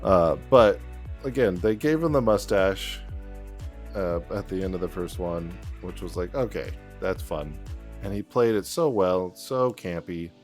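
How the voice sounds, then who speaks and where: A low-voiced man hosts a laid-back podcast chat. He chuckles often and reads numbers off his notes. Faint music plays underneath his voice. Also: expressive, a little slow. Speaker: podcast host.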